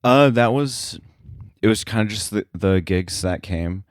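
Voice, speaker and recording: A man's voice: on the low side, a little slow, no echo, clean studio sound. A man talking casually as an interview guest, explaining his sound editing work in a thoughtful, somewhat hesitant way. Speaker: podcast guest, sound effects editor.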